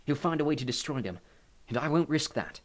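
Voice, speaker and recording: Audiobook casting: underworld god, acted by a man, anxious protector, urgent pleading, lifted pitch. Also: animated, fairly quick, natural voice. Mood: grim.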